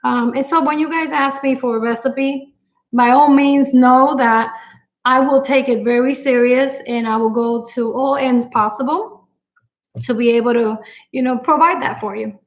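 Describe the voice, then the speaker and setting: Cooking host, woman, middle-aged, Puerto Rican accent, a bit high-voiced, unhurried live-stream chat full of ums. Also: fairly even intonation. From Puerto Rico. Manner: warm-hearted, grateful, frequent fillers and pauses.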